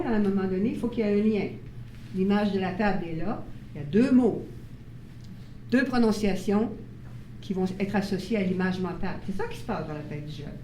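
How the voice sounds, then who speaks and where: A woman's voice: medium pitch, warm tone. A woman leading a workshop for parents, explaining ideas and checking in with her listeners. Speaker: workshop presenter on child bilingualism. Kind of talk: live workshop talk to parents. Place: meeting room with audience. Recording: roomy sound from a distant mic, faint noise.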